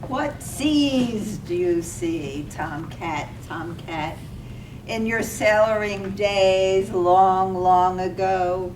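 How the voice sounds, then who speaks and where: Very slow, wistful ghostly woman played by an actress. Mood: longing. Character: dead sweetheart, tender, wistful ghost of a woman. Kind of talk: staged reading of a poetic radio drama. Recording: roomy, distant mic, faint rumbling noise.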